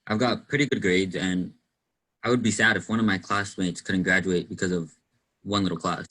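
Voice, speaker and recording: A young man in an amateur stage play, acting a kind, helpful high school classmate in an understated way. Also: steady tone, moderate pace, bright, forceful tone, poor audio. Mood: kindly. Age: young adult.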